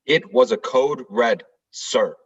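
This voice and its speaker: A man's voice: medium pitch, takes it slowly, slight background noise, close to an everyday voice. A man acting a stoic, dutiful Marine corporal in a stage play read-through, speaking with clipped, formal military deference. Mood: rigid, defensive. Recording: distant, slightly dull microphone.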